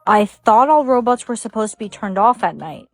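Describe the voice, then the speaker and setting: A woman's voice: medium pitch, lively intonation, moderate pace, no echo. A woman playing a cautious young human explaining things matter-of-factly in a short skit. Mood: cautioning. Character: cautious young human Jake who befriends a robot. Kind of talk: short comedic skit acting.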